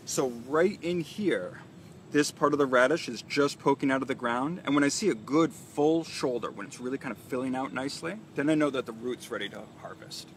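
A man's voice: mid-range voice, a little fast. A man in his thirties walks through an outdoor vegetable garden, explaining the crops with easy enthusiasm. He is recorded on a phone held a few feet away. Insects and distant traffic hiss steadily behind him. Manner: enthusiastic, explanatory, walking and pointing at plants. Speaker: urban farm manager giving a tour. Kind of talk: live guided tour. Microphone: phone camera a few feet away.

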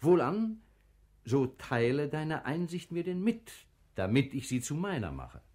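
Lordly sultan in old stage drama, raspy, very lively intonation, speaks like hes giving orders. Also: male voice, a little high. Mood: probing, lofty. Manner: commanding, theatrical, deliberate phrasing. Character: proud, probing male sultan of crusade-era Jerusalem. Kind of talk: classical stage verse radio drama acting.

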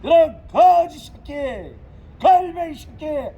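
A man at a street protest, yelling insults with drawn-out angry cries outdoors. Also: very high-pitched, animated, gravelly. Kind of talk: shouted protest rant.

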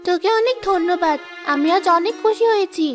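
A woman plays a young cartoon girl with an extremely high voice. She sounds earnest and sensitive, with a plaintive way of talking. Music runs clearly underneath. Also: brisk. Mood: surprised, grateful. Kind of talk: cartoon voice acting, moral story.

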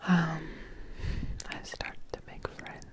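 A woman in her twenties whispering a roleplayed ASMR video diary close to the mic, in a hushed, halting manner. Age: 20s. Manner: hushed, halting, drawn-out delivery. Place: small indoor home studio room. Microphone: close desktop mic with foam windscreen.

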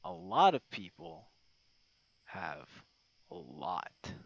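A man chatting casually in a late-night ASMR style, rambling and halting in an informal way. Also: low voice, huge pitch swings, gravelly, no echo. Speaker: ASMR roleplay creator. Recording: dull, muffled lo-fi audio.

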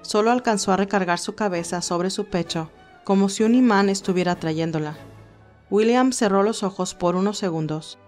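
A woman's voice: mid-range voice, moderate pace, a little mellow. A woman narrator reading a scripted romantic story. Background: music.

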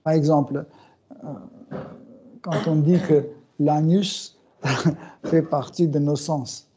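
A middle-aged man giving a scripture class in a small room, speaking slowly and hesitantly with many fillers and soft chuckles. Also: on the high side, fairly even intonation. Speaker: spiritual teacher. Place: small room with bookshelves, indoors. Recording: dull, muffled recording.

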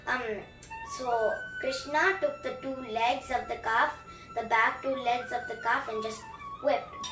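A young girl reading a storybook aloud and retelling it in chatty, animated asides with emphatic repetition. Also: on the high side. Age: child, about 7 to 10. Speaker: story reader, host of a children's story channel. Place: small room at home, indoors. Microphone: camera a few feet away. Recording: roomy, distant mic, music behind.

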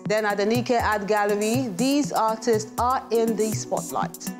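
A woman's voice: mid-range voice, unhurried, over loud music. A woman in her thirties with a Nigerian accent presenting a scripted television arts show as its host.

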